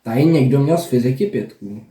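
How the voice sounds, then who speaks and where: A man's voice: medium pitch, lively intonation, mellow. A young man chatting casually as a reaction host, joking and swearing in slang. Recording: roomy echo, faint noise and clicks.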